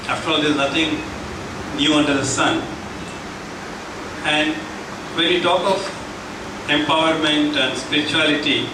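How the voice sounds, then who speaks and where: Indian man speaking in an echoey hall. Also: on the high side. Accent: Indian English. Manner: measured, with many pauses and fillers. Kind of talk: public talk to a senior citizens' forum. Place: meeting hall. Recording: echoey hall, mic from far off, background noise.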